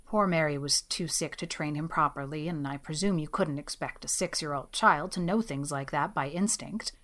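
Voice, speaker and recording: A woman's voice: deep voice, normal speed, a bit bright, voice pushed down. Woman putting on a grim, weary older woman.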